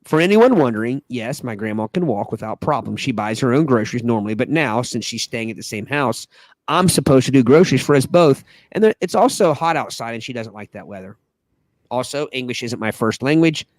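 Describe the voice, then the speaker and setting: A man's voice: mid-range voice, lively intonation, brisk, no room sound. A man hosting a podcast, reading a listener's post aloud with asides. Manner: reads with frequent laughing breaks and asides.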